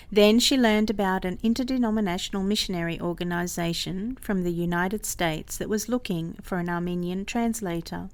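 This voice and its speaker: Woman narrating a story, medium pitch. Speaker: story reader. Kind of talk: scripted narration of a mission story.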